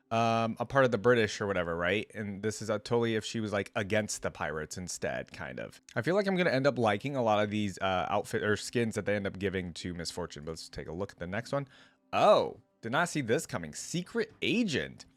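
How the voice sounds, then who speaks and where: A man hosting a reaction video, chatting informally and slangily as he thinks out loud. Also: medium pitch, no room sound. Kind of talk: casual reaction commentary.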